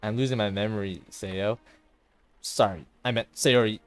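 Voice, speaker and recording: A man's voice: fairly low pitch, fairly even intonation, moderate pace, clear, resonant tone, dry recording, close to an everyday voice. Man as a subdued, guilt-ridden teenage girl. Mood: resigned. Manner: subdued, hesitant, self-blaming. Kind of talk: dramatic visual novel voice acting.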